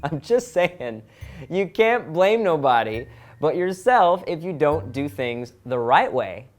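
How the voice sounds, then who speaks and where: A man's voice: a little high, very animated, slow, faint music behind. A man hosting a comedic vlog, explaining things in a scripted, instructional way with casual joking asides. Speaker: vlog host.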